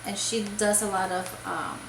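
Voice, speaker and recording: A woman's voice: medium pitch, big pitch swings, moderate pace, gravelly, echoey room, background noise. A woman from Puerto Rico chatting casually about crafts for her video, rambling with many fillers and restarts. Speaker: crochet vlogger. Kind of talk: casual show-and-tell chat.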